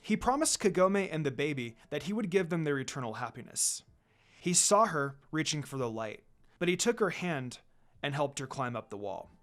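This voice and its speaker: A man reading a fan fiction story aloud, dropping into soft, quiet tones for the characters' lines. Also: fairly high pitch, big pitch swings, normal speed. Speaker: fanfiction reader.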